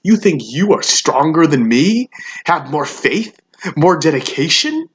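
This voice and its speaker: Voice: medium pitch, very animated, unhurried, muffled recording. An actor playing an obsessed, aggressive fighter who challenges a rival with emphatic, confrontational questions in a dramatic monologue. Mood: fierce, defiant. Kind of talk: intense motivational dramatic monologue.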